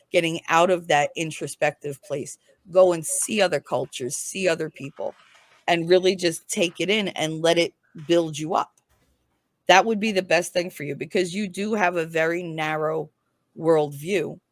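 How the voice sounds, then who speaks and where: A woman's voice: low voice, no room sound. A woman giving a casual tarot reading, speaking in a frank, informal, explanatory way. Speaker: tarot reader, guest.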